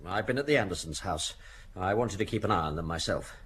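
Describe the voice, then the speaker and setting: Low, animated, indignant man. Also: fast talker, a little hiss or hum. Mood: defensive. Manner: flustered, protesting. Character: indignant, anxious, put-upon male householder. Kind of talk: audio drama acting.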